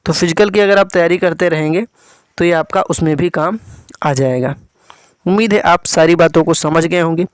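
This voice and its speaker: A man's voice: on the high side, clean tone, no room sound. A man presenting an exam update for students, instructive, repeating key figures and addressing listeners directly.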